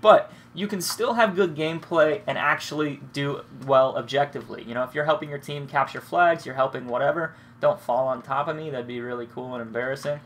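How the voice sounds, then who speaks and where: A young man talks through his match in a relaxed, rambling way, dropping curses as he goes. His nose is blocked from a cold, giving him a congested, sniffly sound. His pitch sits in an ordinary middle range, with a faint hiss of background noise. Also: a little fast, few pauses. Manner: rambling, laid-back, swears freely. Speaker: gaming commentator. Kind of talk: casual commentary over gameplay.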